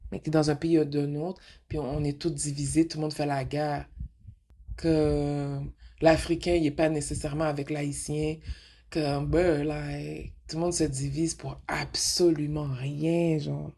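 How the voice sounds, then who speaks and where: A woman with a Québécois accent talks frankly in a loose, rambling monologue, hopping between French and English in the middle of her sentences. Her voice sits low and carries a husky, breathy edge. She pushes her points with rhetorical questions. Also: flat delivery, measured pace, mellow. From Quebec. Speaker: radio show host. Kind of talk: casual monologue.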